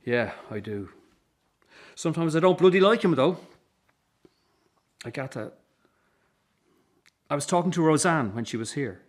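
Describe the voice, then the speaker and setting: An actor in a radio drama playing a weary, earnest circus boss and troubled son, with an Irish accent. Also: male voice, a little high, lively intonation, a little slow, pauses often. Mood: sombre.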